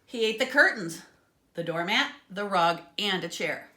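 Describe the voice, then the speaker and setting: A woman reading a children's story aloud in an expressive, dramatic way, with asides to her listeners. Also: mid-range voice, unhurried. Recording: echoey, roomy sound.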